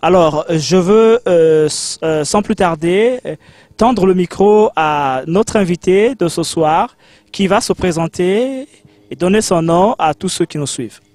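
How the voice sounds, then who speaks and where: High-pitched Congolese male debate host. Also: animated, unhurried, a little mellow, no echo. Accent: Congolese French. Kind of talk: formal broadcast interview introduction.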